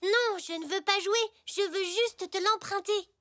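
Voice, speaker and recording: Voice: very high-pitched, unhurried, pushed and bright, exaggerated voice. A performer voicing an eager, pleading young boy zebra in a children's cartoon, with earnest delivery. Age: child figure. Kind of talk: animated children's series dialogue. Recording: music behind the voice.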